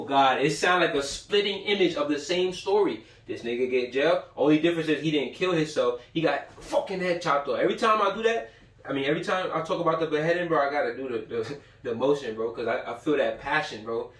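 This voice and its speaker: A man's voice: on the high side, lively intonation, brisk, fairly bright tone. An American man giving an informal, profane livestream lecture, speaking in slang and repeating phrases for emphasis. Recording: echoey room, distant from mic.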